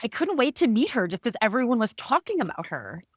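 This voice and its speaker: Woman podcast host, upbeat and giggly, a bit high, dry studio sound. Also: sing-song, a little fast, dry recording. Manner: bubbly, laughs often. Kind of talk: casual chat.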